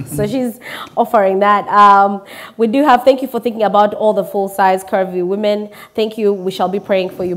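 A woman, hosting a conversational interview in an encouraging way and repeating phrases for emphasis. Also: medium pitch. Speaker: talk show host. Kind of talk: conversational interview, reading viewer messages. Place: indoor television studio. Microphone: lapel mic.

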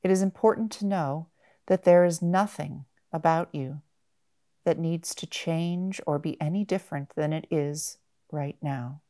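A woman guiding a meditation in a gentle, measured manner, with long pauses between short phrases. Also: deep voice. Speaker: mindfulness meditation guide. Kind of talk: guided body-scan meditation.

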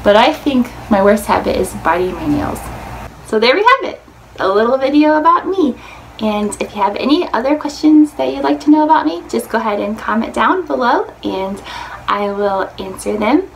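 Chatty young American woman, higher-pitched voice. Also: animated, moderate pace, low-quality recording. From Indiana, United States. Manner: chatty, informal, uses "like" often. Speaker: vlogger. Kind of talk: casual chat to camera. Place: small room indoors, plain wall. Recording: clearly audible background room noise.

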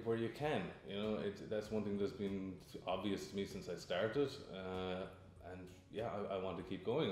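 Man, Irish accent, young adult, deep low voice, hesitant, office interview, strong room echo. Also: darkish tone. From Ireland. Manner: hesitant, repeats words. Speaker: employee interviewee. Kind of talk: recruitment video interview. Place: office, seated indoors.

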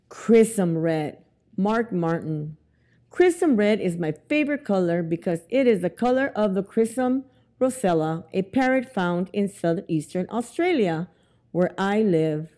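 A middle-aged woman reading a children's picture book aloud at storytime, expressive and deliberate, as if to young children. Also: mid-range voice. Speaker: library storytime reader. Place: indoors in a library, among bookshelves. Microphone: lapel mic.

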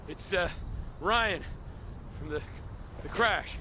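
An actor playing a weary, irritable firefighter who survived a crash in a video game. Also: male voice, high-pitched, slow. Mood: weary, pained. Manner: swearing, exasperated. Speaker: player character in a zombie game. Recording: faint background noise and game ambience.

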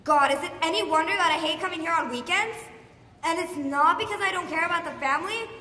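A woman's voice: very high-pitched, quick pace, pushed and bright. A woman performing a stage monologue as a hurt teenage daughter bitterly accusing her father. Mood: indignant. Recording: echoey hall, distant mic.

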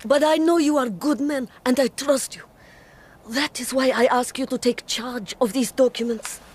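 High-voiced, solemn, doomed exile. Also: female voice, steady tone, darkish tone, husky. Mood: solemn, resolute. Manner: solemn, deliberate, dramatic. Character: proud, resolute, doomed Russian exile wife, tragic. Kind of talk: BBC radio drama acting.

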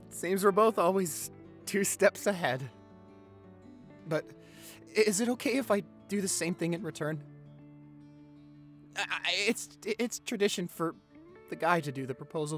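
Romantic audio roleplay lead: man playing a sentimental male vampire lover, unhurried, tender, emotional, soft music bed. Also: sits high. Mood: flustered, happy. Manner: tender, halting, openly emotional. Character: loving, sentimental young male vampire boyfriend. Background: background music.

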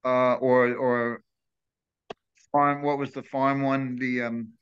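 Older man, bright voice over a dull video call. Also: mid-range voice, flat delivery, slow, clear, resonant tone. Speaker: guest. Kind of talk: casual interview chat. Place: home room, video call.

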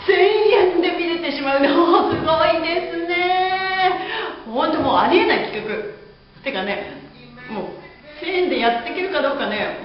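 High, animated woman in a very echoey room. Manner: bubbly, girlish, exaggerated stage-presenter delivery. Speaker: host and pantomime instructor. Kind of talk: playful instructional presentation to camera. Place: bare indoor room with curtained wall and hard floor. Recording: very echoey room, slightly dull audio.

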